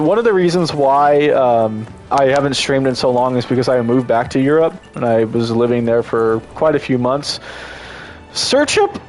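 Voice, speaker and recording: Man, streamer, congested, slightly high voice, rambling, enthusiastic, faint music behind. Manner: rambling, restarts often, enthusiastic. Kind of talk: casual gameplay commentary.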